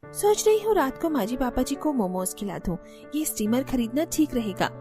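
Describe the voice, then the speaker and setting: High, lively cartoon daughter-in-law, smug and scheming, light music behind. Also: female voice. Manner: bright, smug, quick to plead. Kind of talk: cartoon voice acting.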